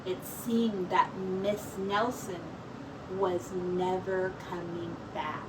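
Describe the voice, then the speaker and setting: A woman teacher reading a picture book aloud to young children in an expressive, encouraging way. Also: lowish voice, very slow. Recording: distant mic with clear background noise.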